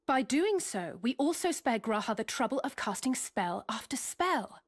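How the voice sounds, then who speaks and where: A woman playing a brisk, determined young heroine in a fantasy video game, her voice slightly husky. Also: a little high, big pitch swings. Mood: matter-of-fact. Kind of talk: scripted video game cutscene acting.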